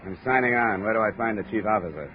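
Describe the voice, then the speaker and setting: An actor with an American accent playing a cool, self-assured insurance investigator in a 1950 radio drama, clipped and wry. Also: male voice, fairly low pitch, expressive, quick pace, slight background noise, close to an everyday voice. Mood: terse. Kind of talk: 1950s radio drama narration. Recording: muffled old radio recording with music.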